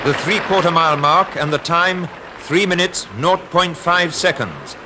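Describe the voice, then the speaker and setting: Dramatic 1950s British newsreel commentator, noisy soundtrack. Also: male voice, on the high side, animated, unhurried. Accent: British Received Pronunciation. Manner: dramatic, formal announcer delivery.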